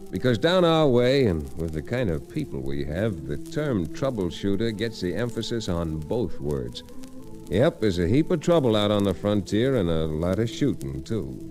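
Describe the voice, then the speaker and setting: An actor playing a wry frontier lawyer, narrating a 1940s radio Western in a folksy, unhurried way. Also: male voice, fairly low pitch, sing-song. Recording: vintage radio recording with music.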